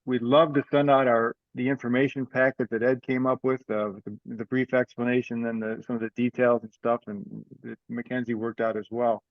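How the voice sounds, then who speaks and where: A man chairing an informal online meeting, giving updates in a halting way with repeated words. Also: mid-range voice, little pitch movement, no echo. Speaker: meeting chair of an amateur radio club group. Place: online video call. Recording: slightly dull video-call audio.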